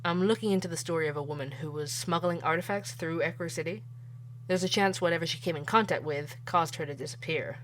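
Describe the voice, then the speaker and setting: A woman's voice: low-pitched, quick pace, a little hiss or hum, natural voice, low-quality recording. A woman voicing a dogged, opinionated male podcast investigator who asks blunt, earnest questions. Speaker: podcast host. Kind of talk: audio drama acting.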